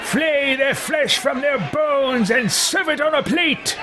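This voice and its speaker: A man's voice: very high-pitched, takes it slowly, raised voice, voice pushed up. A man voicing a haughty, merciless and impatient fantasy tyrant for a video game roleplay, declaiming with contempt. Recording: noisy, with game crowd sounds behind.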